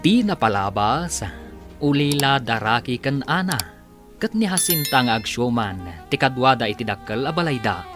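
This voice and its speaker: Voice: medium pitch, very animated, normal speed. An announcer narrating a radio drama in a dramatic scripted style. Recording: music bed under the voice. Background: loud theme music.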